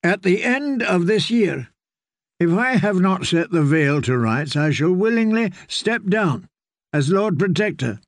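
A man voicing a cunning nobleman in a fantasy audiobook, formal, emphatic and deliberate, with a cold, commanding manner. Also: sits high, big pitch swings, no room sound, clean studio sound, voice pushed up, heavily acted. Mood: conciliatory. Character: cunning nobleman, coldly angry, commanding, smooth in bargaining. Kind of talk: dramatic audiobook character voice.

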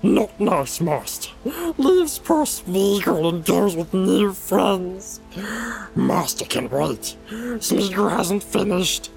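A man voicing a wretched, wheedling cave creature for an audiobook, with a hissing, gurgling rasp, broken childlike speech and a sly, suspicious manner. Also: high voice, animated, slow pace, darkish tone, faint music behind, pitched above the performer's own voice, exaggerated voice, low-quality recording. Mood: sulky refusal. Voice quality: hissing, gurgling, raspy whisper. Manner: broken childlike speech, talks of self by name. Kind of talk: audiobook character voice.

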